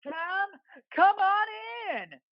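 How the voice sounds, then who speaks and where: A man's voice: extremely high pitch, very animated, extremely slow, no echo, muffled recording, pitched above the performer's own voice, exaggerated voice. A man voicing a manic, taunting villain driver in an audio play, gleeful and unhinged. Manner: taunting, gleeful, maniacal laughing.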